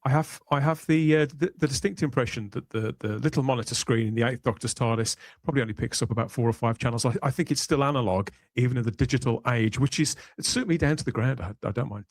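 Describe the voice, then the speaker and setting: A man's voice: medium pitch, fast, hardly any pauses, no echo. A man hosting a casual panel podcast, chatting in wordy, rambling phrases as he presents and introduces guests. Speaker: podcast host.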